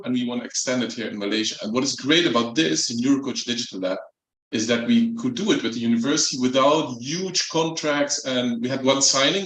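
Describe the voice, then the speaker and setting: A man from the Netherlands with a Dutch accent, giving a relaxed, chatty speech as a company executive at a launch event. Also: mid-range voice. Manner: relaxed, chatty, light jokes. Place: event room. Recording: echoey hall.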